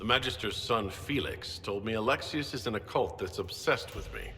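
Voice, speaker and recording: An actor playing a self-assured fantasy hero and leader in a video game, assertive and dryly sardonic. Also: male voice, fairly low pitch, normal speed, sharp tone. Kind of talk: dramatic video game dialogue. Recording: reverberant hall, faint music.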